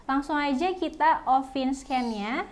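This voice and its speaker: A woman's voice: sits high, a little slow. A young woman reviewing products in a casual vlog, chatting to her viewers and calling them guys.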